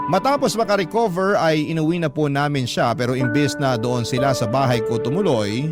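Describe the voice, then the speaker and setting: Actor as earnest younger brother narrating, music prominent. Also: male voice, mid-range voice, close to an everyday voice. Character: earnest younger brother narrating his story as letter sender. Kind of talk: emotional first-person radio drama narration. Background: loud instrumental music.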